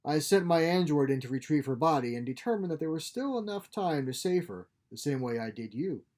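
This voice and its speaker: A man's voice: on the high side, lively intonation, fairly quick, a little mellow, voice pushed up, close to an everyday voice. A man voicing a glib, scheming exiled scientist in a science fiction story, theatrical in delivery. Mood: wry, confident. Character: exiled male scientist, wry, scheming, feigning desperation.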